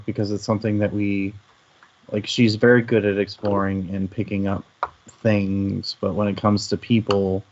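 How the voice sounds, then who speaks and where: A man with a fairly low voice talks through ideas in a casual, analytical way. He sounds like a panelist in an informal discussion. The recording is a little dull and lo-fi.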